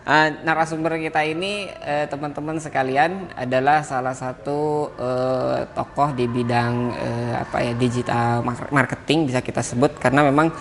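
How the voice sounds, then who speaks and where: A man hosting a podcast interview, with a voice of middling pitch. He pauses often on fillers as he introduces his guest. Faint room ambience hangs in the background. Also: fairly even intonation, slight background noise. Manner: hesitant, many "eh" fillers, laughs often. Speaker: podcast host.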